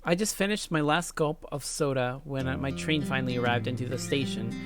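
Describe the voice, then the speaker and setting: A man's voice: mid-range voice, brisk. A man doing a let's play, reading a visual novel aloud, acting out the dialogue with casual commentary and stumbling over words, with music behind him.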